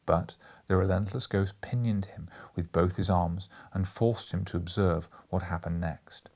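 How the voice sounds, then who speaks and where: A man reading classic fiction aloud as an expressive audiobook narrator. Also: low voice, unhurried.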